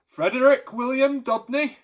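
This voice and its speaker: A man voicing a loud, official policeman making an arrest in a storybook. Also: very high-pitched, unhurried, voice pushed up. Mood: stern. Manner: loud, formal.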